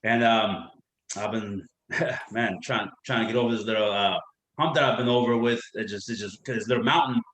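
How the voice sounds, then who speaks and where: A man from Afghanistan introducing himself on a video call, halting and full of restarts. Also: fairly low pitch, steady tone, fairly bright tone, reverberant, poor audio. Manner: halting, many restarts and fillers. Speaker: workshop participant, intern. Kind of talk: casual self-introduction.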